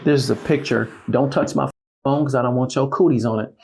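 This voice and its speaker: A man's voice: medium pitch, steady tone, brisk, gravelly, a little mellow. A man from Ghana who works as a bounty hunter, confronting someone in an assertive, taunting, repetitive way. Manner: assertive, taunting, repeats himself. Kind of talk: confrontational reality-show talk. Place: office. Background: music.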